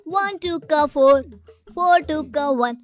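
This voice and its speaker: A woman's voice: high voice, lively intonation, slow. A woman voicing a playful, filmi, romantic young man in a moral story cartoon, with a showy manner.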